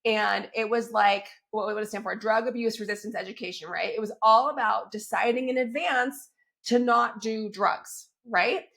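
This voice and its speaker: A woman hosting a fitness podcast while she has a cold, her voice crackly and a little squeaky, talking in an upbeat, chatty way and often checking in with her listeners. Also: moderate pace, vocal fry, a bit bright. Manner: upbeat and chatty, keeps checking in with "right?" and "okay?". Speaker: fitness coach and podcast host. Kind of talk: solo podcast, coaching talk.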